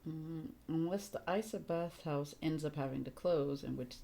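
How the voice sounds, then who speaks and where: A woman's voice: sits low, normal speed, gravelly, dark tone. A woman game streamer giving casual, offhand, wry commentary during a livestream.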